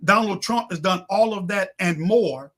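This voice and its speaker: A man with a notably high, forceful voice argues his points as a guest on a political talk show. His delivery is emphatic and rhetorical, and he repeats phrases to drive them home. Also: measured pace. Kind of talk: impassioned political discussion.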